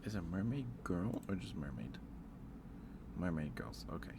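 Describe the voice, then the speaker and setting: A man's voice: sits low, very animated, moderate pace, vocal fry, background noise. A man livestreaming ASMR, chatting casually and cooing affectionately to viewers, often dropping into a whisper close to the mic.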